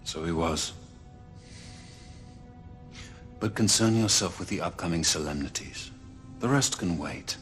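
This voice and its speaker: Lowish-voiced, dignified senior knight. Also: male voice, fairly bright tone, soft background music. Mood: consoling. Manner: formal, measured, consoling. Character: dignified, steadying senior knight counselling a comrade. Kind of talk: dramatic video game acting.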